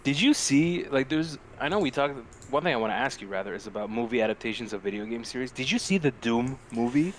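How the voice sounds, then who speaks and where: A man's voice: mid-range voice, animated, fast, few pauses. Young man chatting casually over noisy audio. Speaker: podcast co-host. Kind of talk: casual film chat. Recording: clearly noisy amateur podcast audio.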